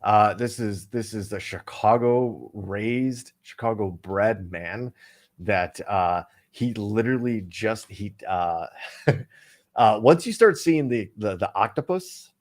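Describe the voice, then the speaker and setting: A man's voice: on the low side, slow pace. A man co-hosting a casual podcast chat, rambling with many fillers and restarts. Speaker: co-host.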